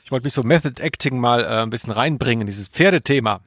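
Guy, podcast cohost, mid pitch, offhand dry humour, very dry close sound. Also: quick pace, fairly bright tone, no echo. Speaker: podcast co-host. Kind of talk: casual chat.

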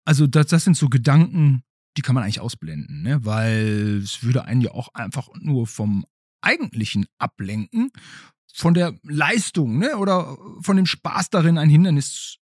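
A man's voice: mid-range voice, sing-song, moderate pace, breathy edge, no echo, clean studio sound. A man hosting a podcast, chatting casually in an interview with a child guest. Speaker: podcast host.

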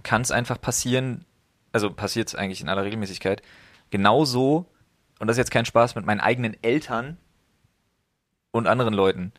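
A man's voice: fairly low pitch, brisk, pauses often. Reflective man rambling with fillers. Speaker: podcast host. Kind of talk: casual chat.

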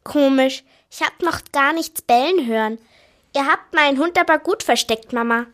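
A high, childlike girl's voice acted by a woman in a children's radio play. She is eager and pleading, insisting like a nine-year-old with her heart set on something. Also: moderate pace, fairly bright tone. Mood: expectant, puzzled.

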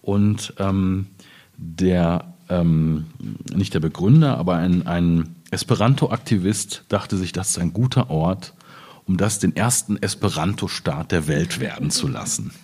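A man's voice: low-pitched, a little slow, a little mellow, slightly rough. A man speaking as a poet in a spontaneous literary conversation, reflective and often searching for his words. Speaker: poet in discussion.

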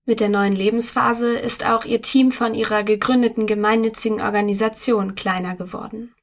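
A woman reading a magazine article aloud in a steady, measured scripted narration on a close studio mic. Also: a little high. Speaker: article reader.

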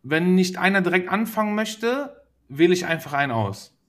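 A man's voice: high-pitched, lively intonation, moderate pace. A man hosting a podcast in casual chat, playful and teasing, laughing often. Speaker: podcast host.

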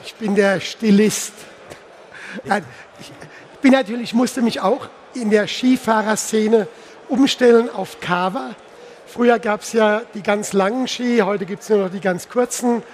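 A man's voice: sits high, a little slow. A man in his sixties from the Palatinate in Germany, talking casually as an interview guest on stage. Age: 60s. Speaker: interview guest, insurance executive. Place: live stage at a trade fair. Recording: faint background noise, live event.

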